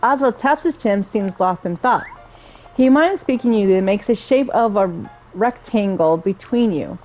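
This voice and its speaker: A woman's voice: mid-range voice, expressive, moderate pace, a little mellow, soft background music, poor audio. A woman hosting a gaming playthrough, commenting casually and reading game text aloud. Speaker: gaming video host.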